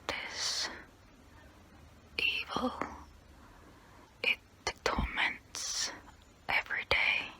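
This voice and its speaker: Girl doing a guilty tormented vampire lady, asmr roleplay, close up breathy whispering, super slow and hushed. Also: medium pitch, full character voice. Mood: tormented hunger. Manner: slow, hushed, deliberate, pausing between phrases. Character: guilt-ridden female vampire, tormented, confessional, bloodthirsty. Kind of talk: ASMR role play, whispered close-up monologue. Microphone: close-up microphone.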